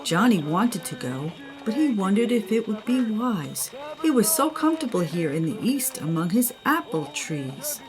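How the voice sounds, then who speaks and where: A woman's voice: mid-range voice, very animated, moderate pace, hardly any pauses, over music, low-quality recording. An older woman, a grandmother, narrating a children's story.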